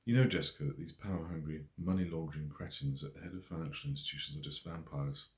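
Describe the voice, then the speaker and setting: A man's voice: very deep voice, fast talker, echoey room. A man voicing an earnest, mischievous woman farmer with a haughty, ranting manner in an audiobook story. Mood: haughty, indignant.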